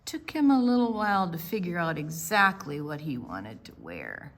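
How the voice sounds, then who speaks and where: An older woman reading aloud to small children at storytime, theatrical, stretching words and dropping into hushed asides. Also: low voice, very animated, moderate pace, slight background noise. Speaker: children's library storytime reader. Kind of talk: animated read-aloud narration for small children.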